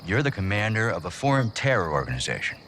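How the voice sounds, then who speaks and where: An actor playing a cocky, aggressive American military commander in a video game, with a swaggering, folksy, commanding delivery. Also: male voice, on the low side, very animated, fast, a bit bright, a little hiss or hum. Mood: menacing. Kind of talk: video game cutscene acting.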